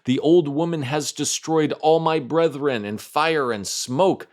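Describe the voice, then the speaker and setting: A man's voice: a little high, measured pace, darkish tone, close to an everyday voice. A man voicing an earnest, friendly talking straw with a dramatic storybook manner. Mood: indignant. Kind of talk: storybook character voice.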